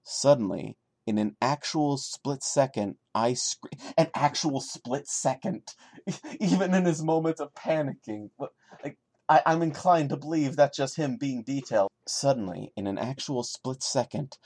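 A man's voice: mid-range voice, a little slow, darkish tone. A man giving a dramatic reading of a horror story as a podcast host, breaking into exasperated, sarcastic comic commentary. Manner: sarcastic, stammering asides between solemn narrated passages.

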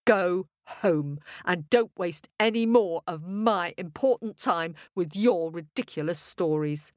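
A woman's voice: lowish voice, slow pace, no echo, clean studio sound. A woman voicing a pompous, impatient king in a folk tale, imperious and blustering. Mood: scornful, dismissive. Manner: imperious, blustering, repeats himself. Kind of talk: storybook character voice.

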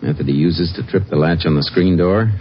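A cool, methodical Texas Ranger played by a man speaks low and fast, matter-of-fact throughout. The old radio drama recording is muffled. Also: slight background noise, close to an everyday voice. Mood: calm, matter-of-fact. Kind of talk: 1950s radio drama acting.

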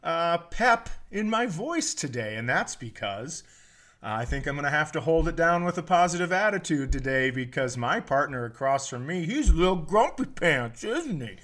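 A man's voice: fairly high pitch, big pitch swings, normal speed, a bit bright. American man, joking podcast comedian. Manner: profane, joking. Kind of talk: casual comedic podcast chat.

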